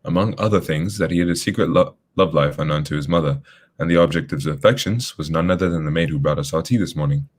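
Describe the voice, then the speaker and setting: Guy playing a gentleman detective in a radio play, low voice, talks fast, formal and courteous. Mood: brisk, thoughtful. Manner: formal, measured wording, polite. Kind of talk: radio drama acting.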